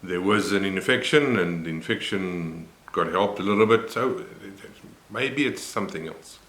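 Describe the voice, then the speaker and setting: Deep-voiced older Afrikaans-man. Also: fairly bright tone, roomy sound. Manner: unhurried, reflective, many pauses and fillers. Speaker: vlogger. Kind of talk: personal health vlog.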